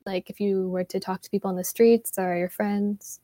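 A woman's voice: mid-range voice, fairly even intonation, moderate pace, dark tone, no echo. A young woman in casual podcast chat, agreeable and asking questions.

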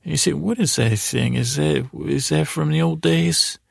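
A middle-aged man with a gravelly, creaky voice hosting a bedtime podcast, meandering and hesitant in a goofy way, often trailing off and restarting. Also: fairly high pitch, normal speed, no echo, clean studio sound. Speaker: podcast host. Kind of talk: rambling bedtime sleep podcast monologue.

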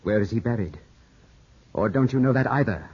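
A man's voice: mid-range voice, lively intonation, normal speed, breathy edge. An actor playing a grieving, suspicious young Englishman in his twenties, clipped and intense, in 1950s radio drama style. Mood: accusing, bitter. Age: 20s. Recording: muffled, scratchy 1950s radio broadcast.

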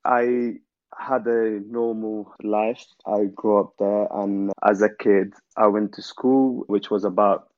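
A man's voice: on the low side, takes it slowly, no room sound. A young man from Afghanistan, chatting as an interview guest and telling his story easily, with lots of "you know". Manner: relaxed storytelling with many "you know" fillers. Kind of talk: casual interview chat. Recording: dull, dry lo-fi sound.

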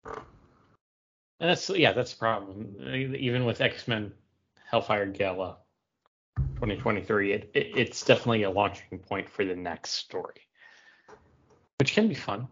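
A man with a lowish voice gives casual opinions as a podcast co-host. He speaks conversationally and off the cuff. The recording sounds a bit dull, with limited clarity. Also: unhurried, long pauses, fairly bright tone, slightly rough.

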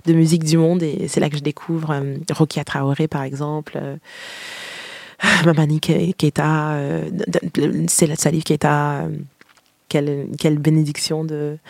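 Gravelly-voiced Québécoise woman. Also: low-pitched, a little mellow, no room sound. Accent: Québécois French. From Quebec, Canada. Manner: chatty, laughs often, hums tunes. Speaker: singer-songwriter interviewee. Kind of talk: casual recounting of memories.

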